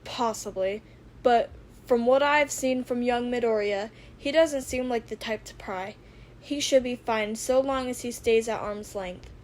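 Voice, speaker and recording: A woman voicing an earnest, apologetic adult male hero mentor in a fan audio drama, with a formal, deliberate and slightly sheepish manner. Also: fairly high pitch, darkish tone, slight background noise, close to an everyday voice. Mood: solemn.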